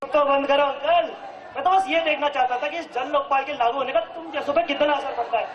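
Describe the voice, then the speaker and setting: A man's voice: very high voice, brisk, muffled recording, a crowd in the background. A man acting an indignant, righteous father and anti-corruption official in a stage play, declaiming with sarcasm. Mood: indignant, defiant. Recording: echoey live stage recording from hall.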